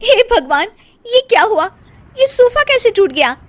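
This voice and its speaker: A woman's voice: extremely high pitch, sing-song, unhurried, fairly bright tone, heavily acted. A woman voicing a feisty, complaining young Indian daughter-in-law in a moral story, sarcastic and whiny. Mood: shocked. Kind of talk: acted dialogue in a Hindi moral story.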